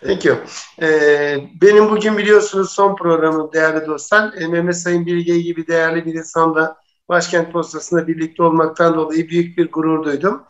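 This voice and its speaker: High-pitched man, dull muffled audio. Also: little pitch movement, fairly quick. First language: Turkish. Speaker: programme co-host and interpreter. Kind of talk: live interview hosting and interpreting.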